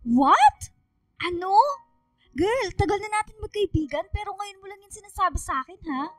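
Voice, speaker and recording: Very high, chatty Filipina best friend. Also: female voice, animated, normal speed, no echo, recorded from a distance, soft background music. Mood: excited. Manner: slangy, dramatic. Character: chatty, excitable young female student, best friend. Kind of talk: radio drama acting.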